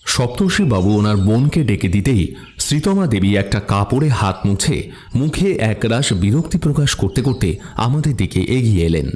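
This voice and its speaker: A man narrating a detective story in the first person as the sleuth's companion, in an expressive storytelling manner. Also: lowish voice, normal speed. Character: male detective's companion recounting the case.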